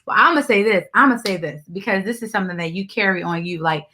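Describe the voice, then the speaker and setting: A woman's voice: mid-range voice, lively intonation, fast, pushed and bright, slight background noise. A woman hosting a casual interview, speaking in an encouraging, affirming way. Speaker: interview host.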